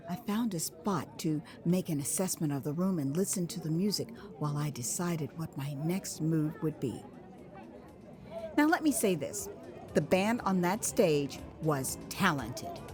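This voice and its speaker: An American woman narrating her own memoir as an audio drama, in an expressive, theatrical way with pauses. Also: medium pitch. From Texas, United States. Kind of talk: dramatized first-person audio memoir storytelling. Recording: music behind the voice. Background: music.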